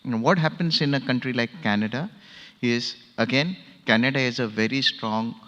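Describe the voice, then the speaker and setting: A man's voice: mid-range voice, normal speed, sharp tone. A man answering as a panelist, explaining at length and checking in with the audience. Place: large conference hall. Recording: dull lo-fi audio in an echoey hall.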